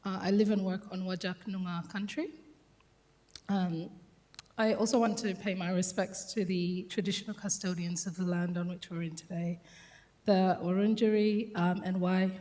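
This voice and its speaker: A woman's voice: medium pitch, a little mellow. A woman from South Sudan who moved to Australia as a child, speaking informally and reflectively as a panelist at a conference, thinking aloud as she goes. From South Sudan, moved to Australia at ten. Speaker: panel speaker, gender and race researcher. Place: conference hall, on a microphone. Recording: echoey hall with long reverb.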